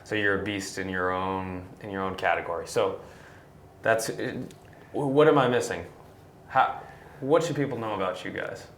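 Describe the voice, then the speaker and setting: A man's voice: low-pitched, normal speed, fairly bright tone. A man in his twenties hosting a casual chat, recorded in an indoor space. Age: 20s. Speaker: host. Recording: roomy echo, noticeable background noise.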